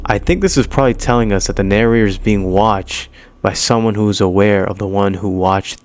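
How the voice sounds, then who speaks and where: Low-voiced man giving explainer commentary. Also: a little fast. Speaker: video host explaining a horror series. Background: prominent music.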